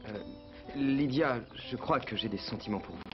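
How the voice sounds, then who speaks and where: A man's voice: medium pitch, normal speed, lightly acted. Guy doing tender young hero w a crush, cartoon dub, super earnest, muffled sound. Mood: tender, smitten. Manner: tender, pleading. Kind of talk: dubbed animated series acting. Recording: muffled sound with music underneath.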